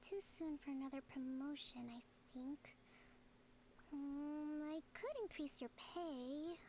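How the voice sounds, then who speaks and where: A woman playing a composed, gentle young leader in an anime video game, speaking in a measured, polite and formal way. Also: high voice, fairly even intonation, a little hiss or hum. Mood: composed, considering.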